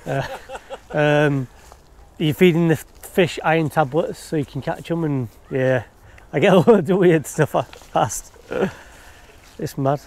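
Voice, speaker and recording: A man's voice: fairly high pitch, a little slow, a little mellow. A man chatting casually as he magnet fishes from a riverbank, easygoing and often laughing. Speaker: magnet fishing vlogger. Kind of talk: casual commentary while magnet fishing. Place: riverbank outdoors. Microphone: body-mounted action camera.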